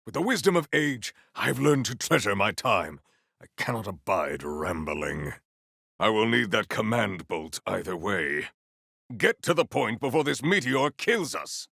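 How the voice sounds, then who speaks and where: A man playing a solemn, proud warrior hunter in a video game, speaking in formal, stilted, declamatory sentences with a gravelly low voice. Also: sing-song, measured pace, fairly bright tone, dry recording, clean studio sound, full character voice. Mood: bold, grandiose. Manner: formal, stilted, declamatory speech without contractions. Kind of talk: video game character acting.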